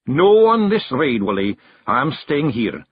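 A man voicing a fierce, bossy little male fairy clan chief with a gruff, high Scottish brogue. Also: expressive, normal speed, dull audio, a little hiss or hum, lightly acted. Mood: commanding.

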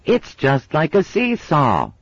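A man's voice: a little high, wildly swooping pitch, slow, dry recording, dull audio, voice pushed up, heavily acted. A man voicing a stubborn, bossy six-year-old girl who whines and insists, in a storybook reading. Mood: petulant. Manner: whiny, insistent.

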